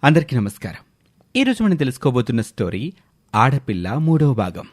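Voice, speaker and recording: A man's voice: medium pitch, big pitch swings, moderate pace. A man delivering scripted storytelling narration as the narrator of an audio story.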